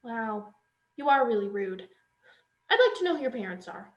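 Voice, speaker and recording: Young woman playing a high-pitched, animated protective mom. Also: normal speed, highs cut off. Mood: indignant, scolding. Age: young adult. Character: protective, confrontational, doting 46-year-old mother. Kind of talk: stage acting in a student one-act play. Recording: echoey stage room.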